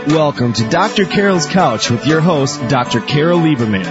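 A man announcing the show and its host in a scripted intro, with a smooth announcer read. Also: a little high, expressive, moderate pace. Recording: lo-fi with music bed.

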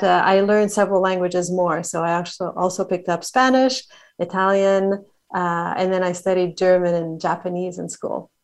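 A woman from Montreal talks at length as a podcast guest. Her voice sits at a middle pitch, and she rattles off places and ideas with enthusiasm. She fills her sentences with "uh" and "you know". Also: darkish tone. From Canada (Montreal). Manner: enthusiastic, talkative, quick listing with fillers. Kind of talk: casual interview conversation.

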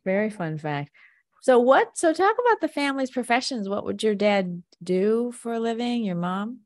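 A woman's voice: a little high, sing-song, normal speed. A woman hosting a conversational interview, curious and prompting her guest with short questions. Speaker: podcast host.

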